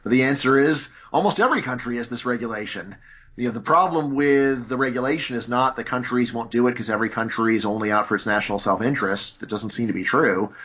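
A man's voice: medium pitch, fairly bright tone, highs cut off. A man speaking as a guest in a conversational interview, arguing his views at length and leaning on "you know" and "like". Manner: argumentative, lots of "you know" fillers.

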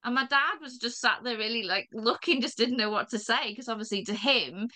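A woman from Wales with a North Welsh accent, talking in a reflective way as a podcast guest, with soft laughs and many fillers. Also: fairly high pitch, brisk. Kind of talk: reflective conversation.

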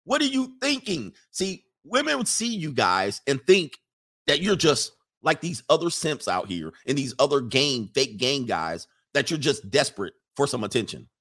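A man's voice: mid-range voice, big pitch swings, moderate pace, a bit bright, breathy edge. A man hosting a livestream, rambling casually, reading chat comments aloud and laughing often. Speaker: livestream host.

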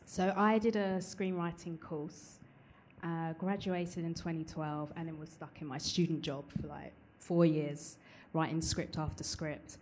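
A woman with a somewhat low voice gives a plain, concise account on a live panel. Faint room noise lies behind her. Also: fairly even intonation, unhurried, darkish tone. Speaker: panel guest, screenwriter.

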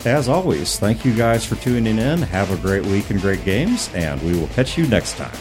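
Male podcast host, lower voice, casual and reflective, music underneath. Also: very animated, moderate pace. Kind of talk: casual tabletop gaming podcast talk.

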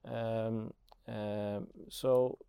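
A man's voice: fairly low pitch, little pitch movement, extremely slow, gravelly, warm tone, smooth, no room sound. A man interviewing someone conversationally, putting his questions tentatively with short listening responses.

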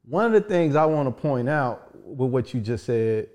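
A man in his forties with a lively, swooping pitch, asking easygoing but probing questions. He talks casually as the host. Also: normal speed. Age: 40s. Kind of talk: casual interview.

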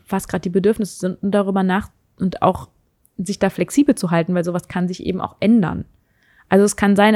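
A woman's voice: medium pitch, darkish tone, dry recording, studio quality. A young woman casually talking through her views on relationships, thinking aloud with frequent hesitations and restarts. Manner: thoughtful, hesitant, many fillers and restarts. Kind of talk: casual reflective monologue.

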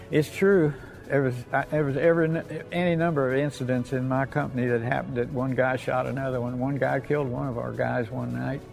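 A man's voice: mid-range voice, creaky. An elderly American man, a war veteran, reminiscing in a plainspoken, folksy way and often repeating himself. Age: 80s or older. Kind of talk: oral history reminiscence.

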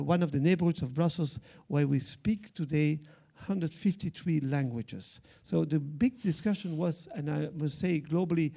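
Gravelly Flemish-accented man, halting speech. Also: fairly high pitch, dark tone. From Belgium. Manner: halting, many fillers and restarts. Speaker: arts center director. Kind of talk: panel discussion remarks.

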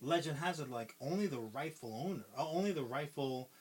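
A man with a voice pitched a touch higher than most, chatting loosely as a podcast co-host. He rambles through a story, swears freely and leans on fillers and restarts. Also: expressive, brisk, darkish tone, slightly rough, rough recording. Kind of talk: casual pitch-style movie retelling.

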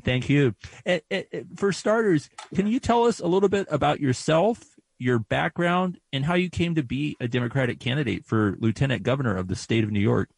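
A male radio host, reading a guest introduction in a measured way. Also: medium pitch, creaky, husky, dry recording. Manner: measured, reads prepared introductions. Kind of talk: radio interview.

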